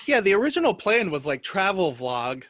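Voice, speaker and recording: A man's voice: high voice, very animated, normal speed, a bit bright, no room sound. A man co-hosting a comedy podcast, chatting casually in a joking, rambling way. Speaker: guest podcast co-host.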